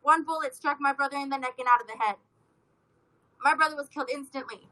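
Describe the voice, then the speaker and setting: Female activist from California, high pitch, bright edge, urgent earnest delivery. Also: fast, low-quality recording. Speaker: activist, victim's sister. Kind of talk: rally speech.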